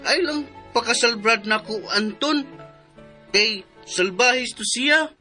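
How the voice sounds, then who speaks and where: An actor voices a simple-hearted male suitor with a Filipino accent. The delivery is slow and bright, naive and pleading in a melodramatic radio style, with soft music behind. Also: medium pitch. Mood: tender, pleading. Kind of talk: melodramatic radio drama acting.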